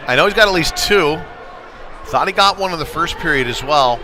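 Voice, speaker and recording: A man's voice: on the high side, animated, fairly quick, a crowd in the background. A man doing live play-by-play of youth ice hockey in a conversational way, with filler words and casual asides. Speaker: play-by-play sports commentator. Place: indoor ice rink. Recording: noisy live rink broadcast.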